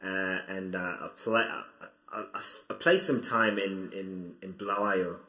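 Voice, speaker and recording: Young guy from zimbabwe, lowish voice, bright and a bit tense, stumbles and restarts a lot, echoey room. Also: fairly even intonation, unhurried. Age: 20s. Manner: thoughtful, hesitant with many restarts. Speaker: guest. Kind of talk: interview, telling his life story.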